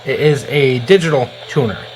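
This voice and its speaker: A man giving a casual, hands-on product demonstration in an informal, halting way as a gear reviewer. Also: medium pitch, slight background noise.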